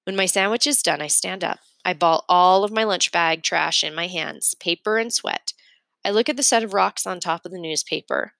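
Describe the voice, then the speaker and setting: A woman's voice: on the low side, normal speed, gravelly, a bit bright, no echo. Woman reading a children's novel, dry sound. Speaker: read-aloud narrator. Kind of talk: expressive audiobook-style reading of a children's novel.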